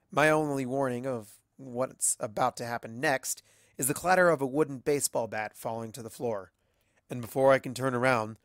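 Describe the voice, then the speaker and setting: A narrator reading fan fiction as a dramatic story, deliberate and expressive, acting out the dialogue. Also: mid-range voice, no echo, studio quality.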